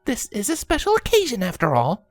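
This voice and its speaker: A man voicing a gentle young mother pegasus in a storybook reading, fond and lightly scolding in falsetto. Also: wildly swooping pitch, brisk, dry recording, soft background music, full character voice. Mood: indulgent.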